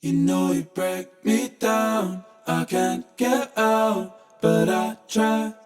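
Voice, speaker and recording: A woman's voice: mid-range voice, extremely slow, a little mellow, soft background music. A woman singing pop vocal lines and harmonies, tightly edited and autotuned into an electronic, vocoder-like sound.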